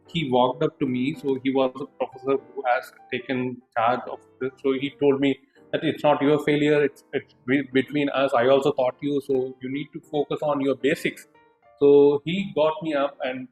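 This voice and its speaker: Hesitant South Indian man, roomy sound. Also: medium pitch. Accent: South Indian English. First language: not Hindi; a southern Indian language. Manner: hesitant and reflective, many fillers and restarts. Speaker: interview guest, HR specialist. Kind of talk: unscripted conversational interview.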